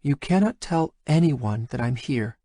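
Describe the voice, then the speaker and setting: A male narrator voicing a frightened, shy young woman who speaks in a halting, pleading way. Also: medium pitch, expressive, mellow, no echo, pitched above the performer's own voice. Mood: anxious pleading.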